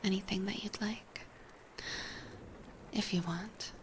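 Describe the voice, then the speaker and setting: A woman streamer whispering softly and gently in a casual ASMR chat with viewers, close on a binaural microphone. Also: medium pitch, steady tone, slow, noisy background. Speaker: streamer hosting a live ASMR roleplay stream.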